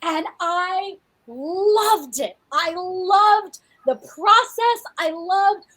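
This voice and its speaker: A woman in her forties, a fitness coach co-hosting a podcast, talking in a chatty, animated way with frequent restarts. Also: very high-pitched, extremely slow. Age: 40s. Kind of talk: casual podcast chat.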